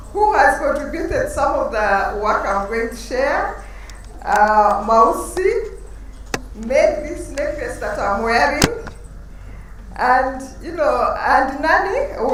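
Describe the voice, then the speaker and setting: A woman giving a lively, storytelling conference talk with emphatic asides. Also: a little high, slight background noise. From Uganda. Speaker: conference panelist. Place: large conference hall. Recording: roomy hall, speaker far from mic.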